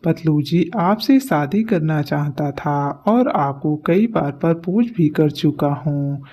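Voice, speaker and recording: A woman's voice: deep voice, expressive, takes it slowly, dark tone, voice pushed down, full character voice. A woman voicing a jilted, persistent young male suitor in a cartoon story, aggrieved and pleading.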